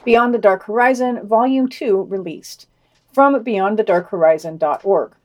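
A woman with a medium-pitched voice presents a scripted news roundup as a podcast host. She reads summaries and quotations, adding commentary in a dry, wry manner. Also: unhurried.

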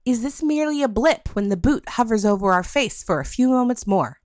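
A woman's voice: fairly high pitch, expressive, fairly quick, a little mellow, no echo, clean studio sound. A woman podcast host reading a scripted topic piece aloud.

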